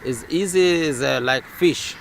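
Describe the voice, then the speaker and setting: Local man with a Swahili accent, hesitant interviewee, average pitch, quiet ambience behind. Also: gravelly, a little hiss or hum. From Zanzibar, Tanzania. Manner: halting, many uh fillers and restarts. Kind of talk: casual interview answers.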